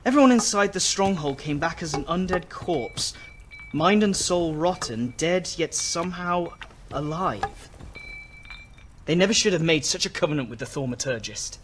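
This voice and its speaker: A man's voice: on the high side, lively intonation, moderate pace, slight background noise, close to an everyday voice. An actor playing a nervous, bookish young male scholar in a video game, speaking in a hesitant, earnest, stammering way. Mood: appalled. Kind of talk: video game cutscene acting.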